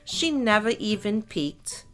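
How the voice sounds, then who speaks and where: A woman reading a children's picture book aloud as a narrator, in a measured storytelling style with clear emphasis. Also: mid-range voice, sing-song, fairly bright tone, faint music behind.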